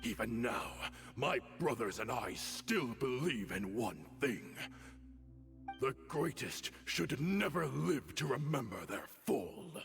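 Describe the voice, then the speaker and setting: Brief: proud, doomed desert warlord for a game, grave and declaiming, pitch swinging widely, long hall reverb with score behind. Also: male voice, big pitch swings, slow pace, gravelly, a bit bright, soft background music, heavily acted. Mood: proud, defiant. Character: proud defiant male desert warrior leader. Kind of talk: game cutscene voice acting.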